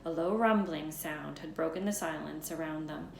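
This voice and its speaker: A woman reading a children's novel aloud as a storyteller, in an expressive narrating style. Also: deep voice, moderate pace, gravelly. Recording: echoey room with background hiss.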